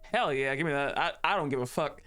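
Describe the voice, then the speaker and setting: A woman's voice: low-pitched, expressive, brisk, fairly bright tone, soft background music. A woman streamer giving casual commentary while playing a game, playful and blunt, laughing often.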